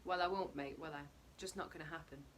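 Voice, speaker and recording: A woman's voice: low voice, fast, slight background noise, natural voice. A woman reading as a numb, weary middle-aged husband and father, laconic and guarded, in a storybook narration. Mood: bluffing.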